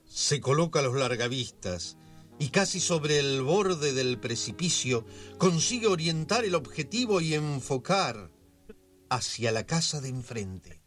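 A man narrating a scripted radio drama as a measured, theatrical storyteller. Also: on the high side, sing-song, fairly bright tone, faint music behind. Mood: storytelling.

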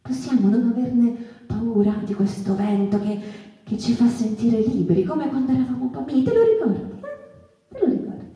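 Wistful female stage storyteller, mellow tone, halting and impassioned, recorded from off in an echoey room. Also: on the high side, lively intonation. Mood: pleading, nostalgic. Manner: halting, repetitive, rhetorical questions to listeners. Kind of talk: theatrical monologue, dramatic storytelling. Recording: echoey room, distant microphone.

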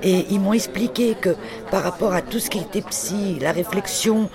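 Man, high voice, eager and hesitant, café crowd behind. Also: normal speed. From France. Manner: eager and chatty, often hesitating with "euh". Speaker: conversation partner. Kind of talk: casual chat.

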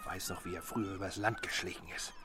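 Wry villager, folksy short lines, very up and down, noisy scene. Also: male voice, lowish voice, wildly swooping pitch, quick pace. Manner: terse, colloquial. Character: villager, wry member of gossiping group. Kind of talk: German radio play acting. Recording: clear noise, honking geese behind.

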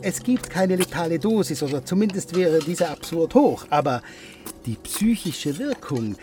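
A man's voice: on the high side, sing-song, normal speed, music in the background. An actor playing a smug, sarcastic villain in a German radio play, theatrical and relishing his deadly experiment. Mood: gloating, menacing.

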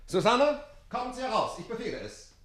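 An actor playing a jealous, commanding aristocratic count in a radio play, speaking formally and imperiously. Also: male voice, very high voice, big pitch swings, a little fast, a bit bright, full character voice. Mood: angry, commanding. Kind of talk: classic German radio play acting.

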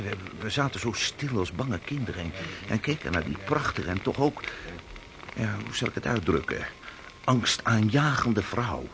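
A man in a Dutch radio drama playing a rough sailor telling an eerie tale, stammering and halting as he goes. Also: lowish voice, a bit bright. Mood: hushed, awed. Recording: noisy radio drama scene.

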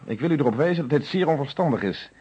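An actor playing a stern, probing male police inspector in an old Dutch radio detective play, speaking formally and with measured authority. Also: a little high, expressive, darkish tone, husky. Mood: admonishing. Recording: dull lo-fi old radio recording.

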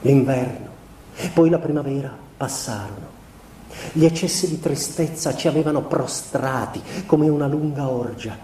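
An actor playing a melancholy young husband in a radio theatre monologue, confessing by letter to his wife in a measured, deliberate way. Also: a little high, dark tone, lightly acted. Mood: weary melancholy. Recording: roomy, distant stage recording with background noise.

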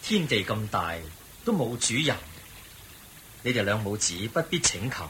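A calm, kindly monk teacher in a Hong Kong Cantonese radio drama. His low voice is bright and expressive, explaining patiently over clear recording noise. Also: normal speed. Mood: kindly, guiding.

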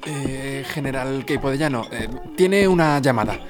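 A man's voice: mid-range voice, animated, normal speed, music in the background, natural voice. A man playing a nervous army aide who stammers hesitantly in an audio drama.

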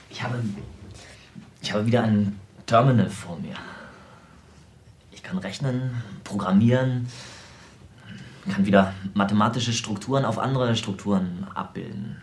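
An actor playing an eccentric, self-absorbed programmer in a radio play, explaining things at length with small hesitations. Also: male voice, fairly low pitch, a little mellow, natural voice. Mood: casual, content. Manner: explanatory, lecturing, halting in places. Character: eccentric, self-absorbed American computer programmer, brilliant tinkerer. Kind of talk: naturalistic radio drama dialogue.

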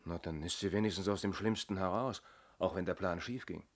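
Casting: inspector's colleague in radio crime play; low voice, measured, sympathetic, skeptical questioner. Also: male voice. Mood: puzzled, skeptical. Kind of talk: German radio crime drama acting.

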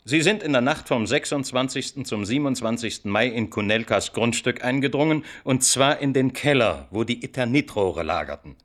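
An actor in a German radio play voicing an insistent, needling police interrogator who swings between threats and chummy, colloquial coaxing. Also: male voice, mid-range voice, animated, moderate pace, few pauses, a bit bright, natural voice. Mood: stern, accusing. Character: pushy, cajoling male police interrogator, by turns threatening and chummy.

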